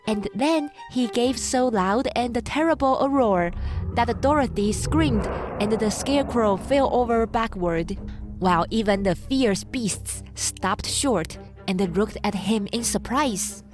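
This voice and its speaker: A woman narrating a children's story from a script as an audiobook reader. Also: a little high. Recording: music behind the voice.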